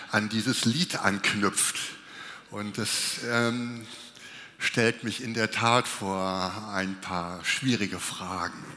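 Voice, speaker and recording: A man preaching a sermon with a cold, speaking slowly and reflectively with pauses. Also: mid-range voice, bright, forceful tone. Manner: slow, reflective, with pauses and restarts. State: has a cold, coughing. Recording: long hall reverb.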